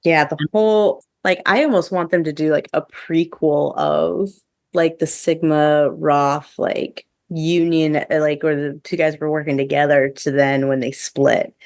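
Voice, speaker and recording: A woman co-hosting a podcast in a casual review chat, with halting, filler-heavy phrasing. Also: deep voice, steady tone, no room sound. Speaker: podcast co-host.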